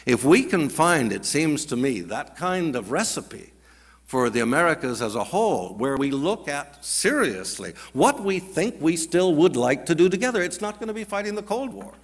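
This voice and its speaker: Lively, emphatic older man at a podium. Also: a little high, normal speed. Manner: emphatic, colloquial, gesturing. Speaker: panel speaker, scholar. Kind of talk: conference speech. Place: large auditorium at a podium. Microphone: podium microphone. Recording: long hall reverb.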